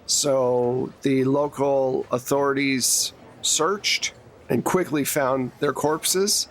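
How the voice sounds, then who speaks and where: A man's voice: medium pitch, steady tone, very slow. A man running a tabletop game as game master, narrating casually and informally with joking asides. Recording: clear background noise.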